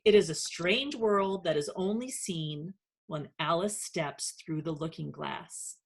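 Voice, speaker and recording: A woman's voice: low voice, a little slow, creaky, slightly rough. A woman hosting and narrating a children's read-aloud as its author.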